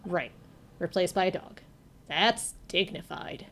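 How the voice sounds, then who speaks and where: A woman's voice: lowish voice, takes it slowly, fairly bright tone, a little hiss or hum. A woman voicing an earnest, wounded actress who feels betrayed, with emphatic delivery, in a game read-aloud. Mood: bitter. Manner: emphatic, pleading. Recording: music behind the voice.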